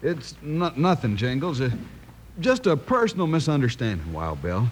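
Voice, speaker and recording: A man's voice: medium pitch, sing-song, normal speed, a little hiss or hum, lightly acted. A man playing a frightened, pleading rancher and protective father in an old-time radio western. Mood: anxious, pleading. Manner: hesitant, pleading.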